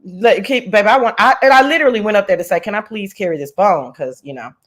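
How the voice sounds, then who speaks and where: A woman chatting casually as a livestream host, telling gossip in a slangy, profane, animated way and often wandering off on tangents. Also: mid-range voice, quick pace.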